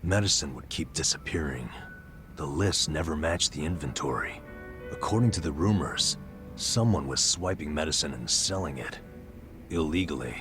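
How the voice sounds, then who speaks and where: A man's voice: extremely low pitch, animated, normal speed. An actor playing a burned-out, middle-aged former nurse in a video game, telling his story with an American accent and a wry, self-deprecating weariness. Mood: reflective, low-key. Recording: music behind the voice.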